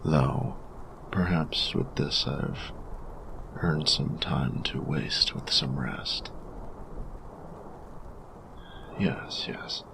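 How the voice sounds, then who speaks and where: A man playing a grumpy, workaholic artificer in an audio roleplay, tired and reserved, speaking formally and precisely with hesitant pauses in a gravelly voice. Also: whispered, exaggerated voice, low-quality recording. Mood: weary, awkward. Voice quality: gravelly, very low voice. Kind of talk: audio roleplay addressed to the listener.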